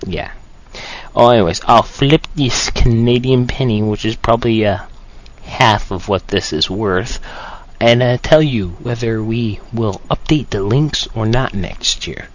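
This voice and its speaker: A man's voice: fairly low pitch, unhurried, highs cut off. A man hosting a podcast part-time in casual chat, rambling with many fillers and self-corrections.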